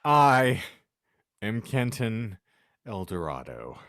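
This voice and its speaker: Low-voiced male podcast host, mock-grandiose. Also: very animated, slow, fairly bright tone, no echo, studio quality. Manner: mock-grandiose, self-deprecating wordplay. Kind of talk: comedic podcast banter and sign-off.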